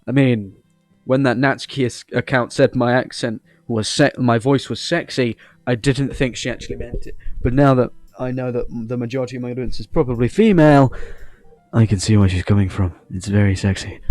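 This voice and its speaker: A man's voice: moderate pace, darkish tone, no echo, faint music behind. A man with an English accent giving casual, rambling gaming commentary in a cheeky, joking manner. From England. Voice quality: deep voice. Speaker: host of a gaming video channel. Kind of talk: casual rambling playthrough commentary, reading game dialogue aloud.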